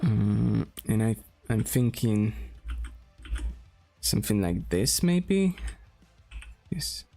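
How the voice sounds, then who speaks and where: A man from Poland with a Polish accent, talking casually as he draws on a stream, slowly thinking aloud with pauses and restarts. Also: on the low side, sing-song, gravelly, mellow, faint music behind. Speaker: streamer and game developer. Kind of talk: casual drawing stream talk.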